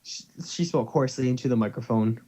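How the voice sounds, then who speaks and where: A man's voice: mid-range voice, quick pace, warm tone. A man reading fan fiction as narrator and co-host, in an amateur, casual style, over slightly dull call audio. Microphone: computer or headset mic on a voice call.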